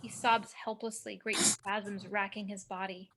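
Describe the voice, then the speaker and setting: A woman's voice: medium pitch, flat delivery, a little slow, a bit bright, a little hiss or hum. A woman reading screenplay action as narrator, with dramatic, clipped phrasing, recorded over a home video call.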